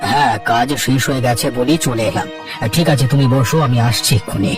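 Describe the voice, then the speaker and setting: A man's voice: medium pitch, brisk, natural voice. A man voicing a mild, concerned older father-in-law in a children's cartoon, conciliatory and avuncular. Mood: calm, hopeful. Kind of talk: Bengali children's cartoon voice acting. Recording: distant voice with background music.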